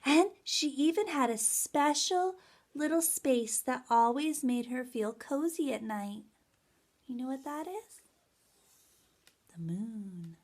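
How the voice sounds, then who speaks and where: A woman teaching young children in a story time, with a sing-song, animated way of talking straight to them. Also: sits high, slow pace. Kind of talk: children's story time lesson with songs and read-aloud.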